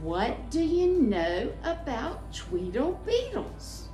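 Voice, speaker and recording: High-voiced woman coaching slowly. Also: wildly swooping pitch. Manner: prompting and correcting in short phrases. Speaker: teacher coaching pronunciation. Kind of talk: word-by-word read-aloud coaching. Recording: echoey room, music behind.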